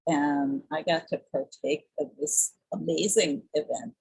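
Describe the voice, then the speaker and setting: A woman artist presenting her work on a video call, enthusiastic, with big swings in pitch. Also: steady tone, unhurried, creaky, a little mellow, slightly rough, poor audio.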